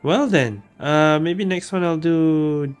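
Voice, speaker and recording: Woman gamer, low voice, slow casual commentary, faint game music behind. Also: darkish tone, smooth. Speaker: gaming streamer.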